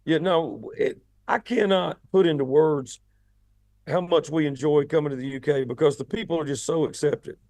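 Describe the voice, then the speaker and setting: A man with a raspy voice, a rock singer chatting casually as an interview guest, often repeating words as he starts his sentences. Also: on the high side, moderate pace, darkish tone, no room sound. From United States.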